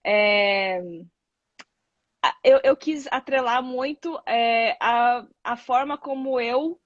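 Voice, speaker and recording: A woman in her thirties is a guest on a casual live chat. She talks at a slightly high pitch in a rambling, expressive way, often restarting her sentences. The sound is dry and a little muffled, like a video call. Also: takes it slowly, no echo. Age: 30s. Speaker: guest content creator.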